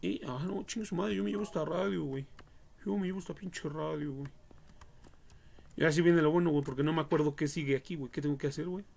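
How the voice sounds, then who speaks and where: Gravelly, higher-pitched young Mexican man streaming. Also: quick pace, a little mellow. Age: young adult. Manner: slangy, foul-mouthed, jokey. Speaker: livestream gamer. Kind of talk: casual game livestream commentary.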